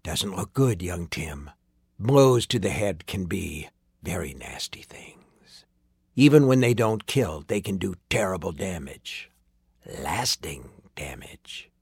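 Guy doing a creepy polite sorcerer for an audiobook, low teasing murmur, old-timey words, gleeful and slippery, kinda whispery. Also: expressive, vocal fry, slightly rough, no echo, studio quality, exaggerated voice. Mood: mock concern. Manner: archaic, teasing, drawn-out murmuring that drops into whispers. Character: sinister sorcerer man, mockingly courteous, slyly gleeful at suffering.

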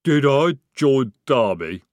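Big male troll, slow and rumbling, voiced by a man, very slow pace, dry sound. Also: big pitch swings, no room sound. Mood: slow, dim.

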